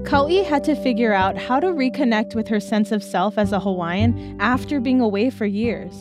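Female documentary narrator, fairly high voice, measured scripted read over a music bed. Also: expressive. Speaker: narrator and reporter.

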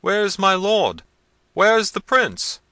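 A man acts out a terrified castle servant in a gothic storybook. The voice is pitched high and sharp, flustered and pleading as he rambles deferentially. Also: sing-song, moderate pace, no room sound. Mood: calling out. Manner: flustered, pleading, long-winded. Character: frightened, babbling, deferential male castle servant. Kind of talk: dramatised gothic novel reading.

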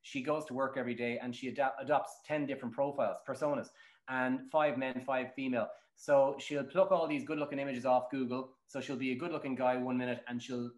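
A man's voice: medium pitch, steady tone, brisk. An Irish man answering interview questions as a matchmaker, in a colloquial, emphatic storytelling manner. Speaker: matchmaker guest. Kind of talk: animated interview answers with anecdotes. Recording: roomy, echoing sound.

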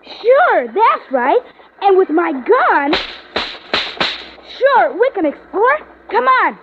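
An excitable little boy in a vintage radio play, very high and swooping in pitch. He is eager but a touch wary, and the old recording is muffled and narrow, with faint noise. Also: slow pace, full character voice. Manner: excitable, childlike, slangy. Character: eager, slightly cautious young boy with a new toy gun. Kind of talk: 1950s radio drama acting.

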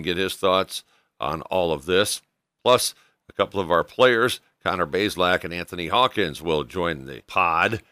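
A bright, forward male voice with an American accent sits fairly low in pitch. He delivers a scripted sports recap as a podcast host, recorded dry and close with a light music bed. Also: dry recording. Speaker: sports podcast host and radio play-by-play announcer.

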